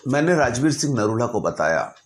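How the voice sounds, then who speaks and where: A man narrating stories informally as an astrologer, speaking deliberately in short phrases broken by pauses. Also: mid-range voice, sing-song. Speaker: astrologer telling client stories.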